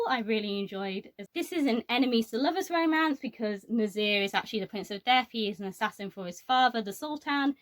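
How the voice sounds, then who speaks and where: A young woman giving her opinions on books in a casual, explanatory chat to camera. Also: medium pitch. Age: young adult. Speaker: book reviewer.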